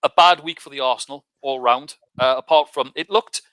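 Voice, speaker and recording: A man hosting a casual show, relaxed, with frequent "uh" and "um". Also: medium pitch, moderate pace, dry recording. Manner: relaxed, filler "uh" and "um". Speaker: host.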